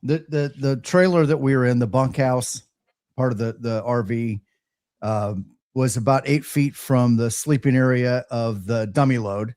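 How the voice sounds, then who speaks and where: A man speaks in a relaxed, chatty storytelling style as a show host. His delivery is halting, with words often repeated as he goes. The sound is dry, with very little room echo. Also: mid-range voice. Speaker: host of a live ham radio show. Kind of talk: casual chat, storytelling.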